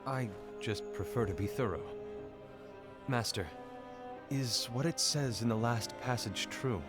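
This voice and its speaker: A man's voice: mid-range voice, a little slow, close to an everyday voice. An actor playing a serious, thorough young male apprentice in a game cutscene. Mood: earnest. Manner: earnest, serious, questioning. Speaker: game character. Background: game music.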